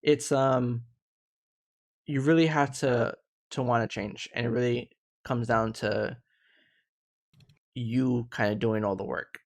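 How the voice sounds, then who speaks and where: A man's voice: medium pitch, fairly even intonation, slow pace, pauses often. A man in his thirties hosting a casual live panel discussion, earnest and reflective, often restarting his sentences. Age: 30s.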